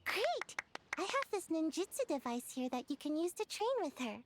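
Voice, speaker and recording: A woman's voice: extremely high pitch, big pitch swings, moderate pace, background noise. A woman voicing a sleepy, lazy little ninja girl in a video game, drowsy and a bit defensive, with game music behind.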